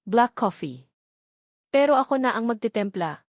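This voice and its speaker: A woman's voice: on the high side, expressive, moderate pace, no echo, studio quality. Woman voicing a confident, kindly young Filipina mistress. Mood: friendly, decisive. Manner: friendly, self-assured, casually commanding.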